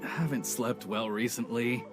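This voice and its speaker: A man's voice: mid-range voice, fairly even intonation, measured pace, over music, close to an everyday voice. A man playing a dutiful, anxious young retainer in a fantasy video game, speaking formally and hesitantly. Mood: anxious, apologetic. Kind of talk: video game character acting.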